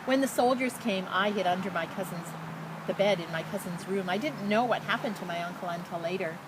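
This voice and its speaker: A woman's voice: mid-range voice, fairly quick, natural voice. Earnest little refugee boy read by a woman. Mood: sombre recounting. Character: sad young Somali refugee schoolboy. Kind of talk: picture-book read-aloud. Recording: noticeable background noise.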